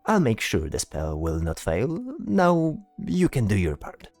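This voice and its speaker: A man voicing a polite, hesitant bard in a fantasy audio drama, speaking in a halting, showy, courteous way. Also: on the low side, huge pitch swings, darkish tone, no echo, faint music behind, full character voice. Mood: pleased, courteous. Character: chatty, polite, hesitant male bard spellcaster.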